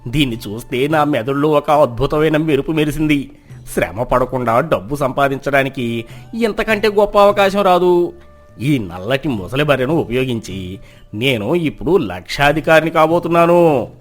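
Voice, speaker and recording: A man's voice: medium pitch, lively intonation, moderate pace, soft background music, pitched below the performer's own voice, close to an everyday voice. A man voicing a cunning, lazy village trickster in a folk tale, boastful and persuasive in his talk. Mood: smug scheming.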